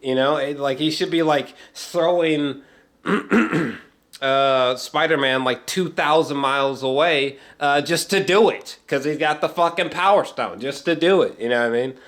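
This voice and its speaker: A man's voice: fairly high pitch, measured pace, fairly bright tone. Rambling man giving a casual movie reaction. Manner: rambling, frequent throat clearing and repeated words; profane, slangy, emphatic. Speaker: film reviewer.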